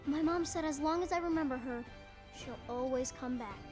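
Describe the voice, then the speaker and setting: A woman voicing an earnest, excitable little boy hero in a video game, with childlike delivery. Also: high-pitched, normal speed, soft background music, lightly acted. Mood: wistful, tender. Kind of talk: video game character voice lines.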